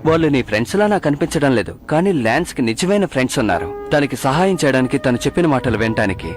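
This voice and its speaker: A man's voice: medium pitch, sing-song, very fast, lightly acted. A man voicing an earnest, determined young heroic dragon rider in a cartoon dub. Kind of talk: animated series dub acting. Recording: music behind the voice.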